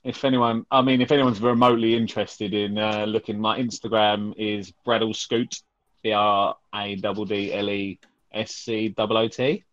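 A man talking as a guest on a podcast over a video call, blunt and jokey and quick to mock himself. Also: on the low side, level tone, fairly bright tone, no echo, muffled recording. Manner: blunt, jokey, self-mocking. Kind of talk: casual podcast chat.

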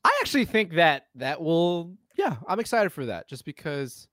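A man's voice: a little high, very animated, moderate pace, a bit bright, no room sound. Emphatic man chatting casually, dry close sound. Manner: emphatic, enthusiastic, slangy, often swearing. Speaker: podcast co-host. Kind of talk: casual conversational film and game chat.

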